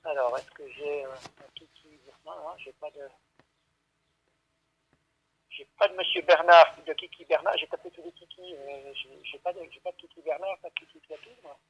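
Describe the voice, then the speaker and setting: A man's voice: a little high, normal speed, gravelly, fairly bright tone, husky. A man working at a vet clinic on a phone call, hesitant and stammering, repeating himself as he patiently explains. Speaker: veterinary clinic staff taking a call. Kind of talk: unscripted phone conversation. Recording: dull, lo-fi phone line.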